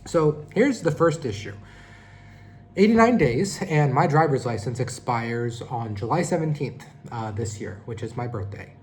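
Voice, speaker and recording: A man's voice: medium pitch, animated, measured pace, a little mellow. A man in his thirties from California, talking casually and rambling a bit while giving a vlog update. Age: 30s. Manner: rambling, conversational, self-correcting. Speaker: vlogger.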